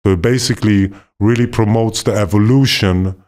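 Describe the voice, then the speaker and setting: A man from Poland with a somewhat low voice, co-hosting a podcast. He talks in a relaxed, rambling way and gets enthusiastic as he explains his plans. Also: fairly quick. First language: not Polish; left Poland at five. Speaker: podcast co-host, former basketball player. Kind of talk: casual podcast conversation.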